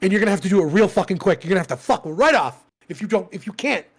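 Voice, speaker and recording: A man's voice: sits high, lively intonation, rapid-fire, breathy edge. A man in his thirties co-hosting a podcast in casual chat, rambling and profane, often laughing at himself. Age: 30s. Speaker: podcast co-host.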